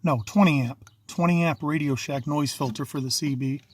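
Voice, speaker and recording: A man's voice: a little high, normal speed, slightly rough. A middle-aged man giving a casual video walkthrough as a DIY installer, talking in a plain-spoken, matter-of-fact, folksy way. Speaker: DIY installer presenting own work. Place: in and around a parked vehicle.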